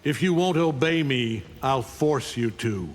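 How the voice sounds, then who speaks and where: A domineering, mocking brute from a video game voiced by a man. He talks bluntly and aggressively at a middle pitch, ringing in a long reverb over faint music. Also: expressive, a little slow, lightly acted. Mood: scornful threat. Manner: blunt, threatening. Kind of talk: video game villain acting.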